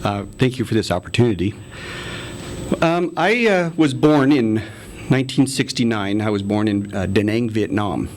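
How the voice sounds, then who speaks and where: A man's voice: on the low side, animated, moderate pace, a little hiss or hum. A man in his forties being interviewed, talking in a friendly way with many ums and laughs. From United States. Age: 40s. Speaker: interview guest, city council candidate. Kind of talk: casual interview conversation.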